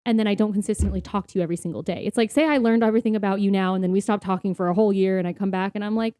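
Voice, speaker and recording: A woman's voice: medium pitch, steady tone, rapid-fire, warm tone, smooth, dry recording, clean studio sound. A woman co-hosting a podcast, talking casually and earnestly about faith in an informal, explanatory way. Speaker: podcast co-host. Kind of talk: casual faith-focused conversation.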